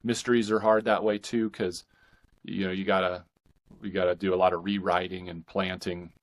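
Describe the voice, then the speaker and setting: A man with a low-pitched voice talks as a guest author in a relaxed interview. He is earnest and reflective, feeling his way through thoughts in halting phrases and doubling back on words. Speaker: guest, indie fiction author. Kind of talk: casual interview conversation.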